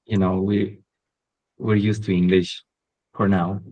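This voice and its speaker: A man with a Catalan accent, a podcast guest talking casually and hesitantly, with many pauses and restarts. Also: sits low, little pitch movement, narrow, tinny audio. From Catalonia, Spain. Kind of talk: casual interview chat.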